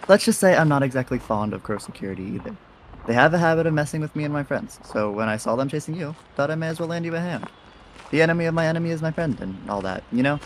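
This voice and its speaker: A man's voice: on the high side, expressive, fast talker, a little hiss or hum, close to an everyday voice, low-quality recording. A man playing an easygoing, cheeky young rogue in an audio drama, talking in casual, wry slang. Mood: casual, frank. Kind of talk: audio roleplay drama acting.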